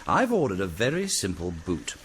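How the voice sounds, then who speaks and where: Casting: volatile, exacting army commander, deep and highly animated, period radio drama, faint noise behind. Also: male voice, moderate pace. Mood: brisk, chatty. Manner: clipped, authoritative, exclamatory.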